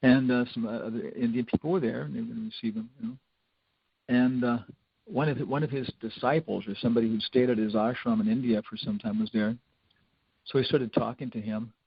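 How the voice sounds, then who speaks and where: Older man, gravelly informal spiritual lecturer. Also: medium pitch, fairly quick. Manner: rambling, conversational, with wry humour. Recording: dull lo-fi lecture recording.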